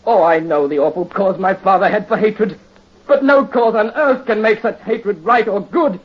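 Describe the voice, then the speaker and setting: A man's voice: sits high, moderate pace, lo-fi sound. A radio actor playing a tormented young nobleman in 1930s radio drama style, anguished and pleading, on an old recording. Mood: defiant.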